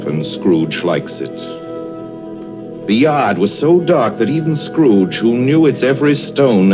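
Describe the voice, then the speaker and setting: A man narrating as a measured, dramatic storyteller in a 1930s-style radio drama, on a muffled old recording. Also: fairly low pitch, close to an everyday voice.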